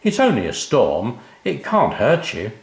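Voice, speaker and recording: A man voicing a calm, reassuring father in a children's story. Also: medium pitch, measured pace, vocal fry, echoey room, full character voice.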